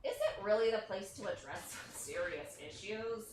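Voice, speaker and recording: A woman's voice: medium pitch, lively intonation, moderate pace, lightly acted. A woman playing a polite but skeptical TV news interviewer with a pointed manner, in a staged play reading. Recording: echoey, distant, faintly noisy reading audio.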